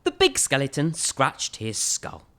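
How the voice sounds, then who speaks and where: A woman's voice: rumbling low, wildly swooping pitch, unhurried, fairly bright tone. A woman storyteller reading a children's storybook expressively, with theatrical, emphatic phrasing.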